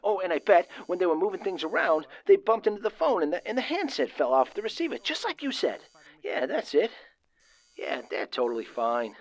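Actor as bashful, stammering young man, animated. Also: high voice, fairly quick. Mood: eager reassurance. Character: nervous, kindly young factory worker caught in invasion. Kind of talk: 1930s-style radio play acting.

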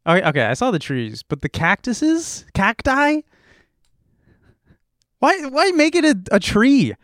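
A man's voice: very high-pitched, very animated, measured pace, a bit bright, no room sound. A man co-hosting a casual comedy podcast, playful and sweary, speaking close to the microphone. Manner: playful, joking, profane. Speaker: podcast co-host.

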